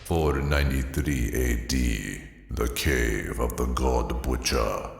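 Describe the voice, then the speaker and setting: A man's voice: extremely low pitch, takes it slowly, vocal fry, faint music behind, full character voice. A man voicing a solemn, portentous narrator, reading scripted comic book scene captions. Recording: echoey, long reverb.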